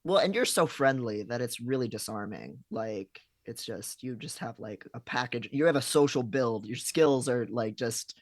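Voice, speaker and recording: A man's voice: mid-range voice, animated, no room sound. A man talking loosely on a live stream, stopping and restarting his sentences. His voice sits in an ordinary middle register. It is close and dry, with no room around it. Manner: halting, filler-heavy, frequent restarts. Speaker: stream co-host. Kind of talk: casual chat.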